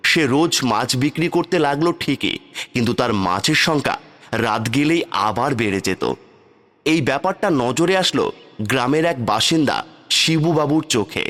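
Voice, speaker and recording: A man's voice: medium pitch, animated, normal speed, fairly bright tone. A man narrating a scripted cartoon fairy tale as its storyteller.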